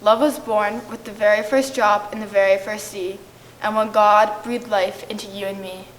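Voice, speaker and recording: A woman's voice: medium pitch, fairly even intonation, moderate pace, slight background noise, low-quality recording. A woman giving a scripted poetic reading at a church service. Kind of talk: scripted poetic reading, shared among readers.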